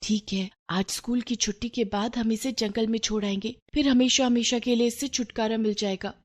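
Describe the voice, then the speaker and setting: Scheming little schoolgirl played by a woman, high and eager, for a children's moral tale. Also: steady tone, normal speed, background noise, close to an everyday voice. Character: scheming, excitable young schoolgirl.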